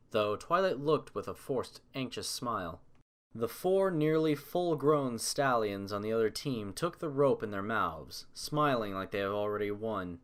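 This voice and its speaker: A man reading scripted story narration as the narrator. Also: medium pitch.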